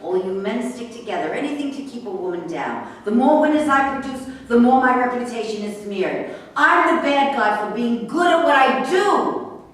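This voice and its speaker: A woman reading the part of a defiant, sharp-tongued racehorse trainer in a staged reading, combative and sarcastic. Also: a little high, expressive, moderate pace, lightly acted. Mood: defiant, indignant. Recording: echoey room, distant mic, faint noise.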